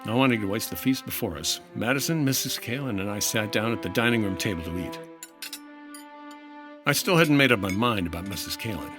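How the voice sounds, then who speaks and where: An actor playing a wry, persistent 1950s insurance investigator in the dry, clipped style of a radio detective drama. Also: male voice, lowish voice, brisk, over music, close to an everyday voice. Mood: dry, businesslike.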